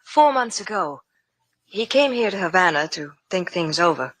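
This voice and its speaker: A rich, earnest wife in a vintage radio drama. She is refined and anxious, with a fairly low voice in a dry studio sound. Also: very animated, normal speed, dry recording. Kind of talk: 1950s American radio drama acting.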